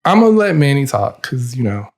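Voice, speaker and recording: A man's voice: medium pitch, very animated, a little fast. A man hosting his own podcast in casual chat, opinionated and emphatic and admittedly long-winded. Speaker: podcast host.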